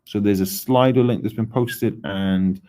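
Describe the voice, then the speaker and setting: A man's voice: on the low side, fairly even intonation, measured pace, mellow, a little hiss or hum. A man from Wales, giving a conversational conference presentation on user research, with frequent ums and restarts.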